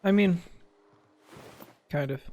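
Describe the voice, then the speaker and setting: A man's voice: high-pitched, slow, warm tone, a little hiss or hum. A man giving casual, joking gameplay commentary as a streamer, with some swearing. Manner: joking, playful, profane. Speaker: video game streamer.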